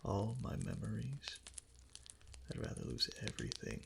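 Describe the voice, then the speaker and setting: An obsessively devoted, possessive kitsune husband, acted by a man for a romantic ASMR roleplay. The voice is low and heavily gravelly, slipping often into breathy whispers, with soft, clingy pillow-talk phrasing. It sits close on the mic with audible noise around it. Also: big pitch swings, moderate pace, full character voice. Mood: adoring, wistful. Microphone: close ASMR microphone.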